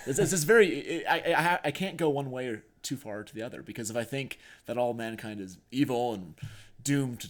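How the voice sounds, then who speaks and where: A man with a slightly low voice speaks as a podcast co-host. He talks casually and earnestly, as in a conversation.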